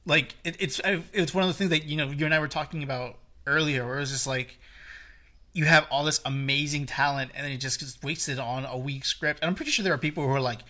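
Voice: mid-range voice, quick pace, sharp tone. A podcast co-host talking casually about a movie, often stammering and restarting sentences and laughing along. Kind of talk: casual movie review chat.

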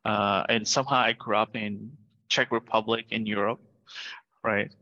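Brief: middle-aged man from Vietnam, lower pitch, bright forceful tone, lo-fi call audio, earnest founder guest. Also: steady tone, moderate pace. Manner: earnest, keeps adding "right?". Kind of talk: remote interview. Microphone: video call.

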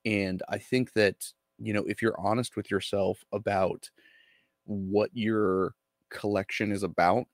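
Lower-voiced easygoing co-host, dry sound. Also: male voice, fairly even intonation, unhurried, no echo. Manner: easygoing, stammering restarts. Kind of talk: casual hobby chat.

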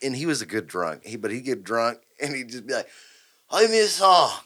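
A man's voice: medium pitch, sing-song, brisk, bright, forceful tone. A man hosting a podcast in a laid-back, slangy way, laughing easily as he talks. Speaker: podcast host. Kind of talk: casual podcast chat.

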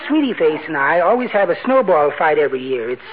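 A man's voice: on the high side, very animated, quick pace. An actor playing a timid, sweet, henpecked little married man with prissy, quaint manners in a 1940s radio comedy. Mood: chirpy, meek. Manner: meek, prissy, quaint exclamations. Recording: noisy, narrow-band 1940s radio recording.

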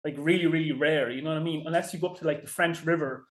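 A talkative Irish man whose voice sits a little higher than most men's. He rambles loosely through stories in a relaxed interview chat, leaning on fillers as he goes. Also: quick pace. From Ireland. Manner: rambling, many fillers like "you know" and "like". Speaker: podcast guest, fishing guide.

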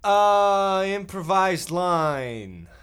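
A blunt, naive boy acted by a man in a comic radio play. His voice sits high, and he delivers his lines slowly, with halting, stilted phrasing. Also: sing-song, fairly bright tone, smooth, heavily acted. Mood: hesitant, naive.

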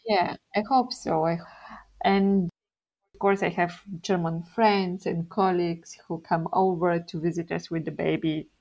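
A young woman from Bulgaria with a Bulgarian accent, a guest in a casual interview, telling things in an unhurried way and laughing often. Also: lowish voice, fairly even intonation, a little mellow, poor audio.

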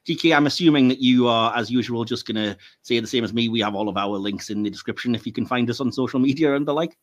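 A man's voice: medium pitch, quick pace, muffled recording. A man with a British accent hosting a podcast in casual, chatty, rambling talk. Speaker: podcast host.

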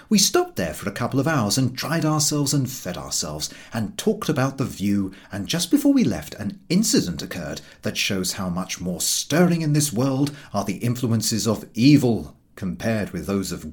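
A man reading comic literature aloud in an expressive, theatrical storytelling manner. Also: on the low side. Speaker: audiobook reader.

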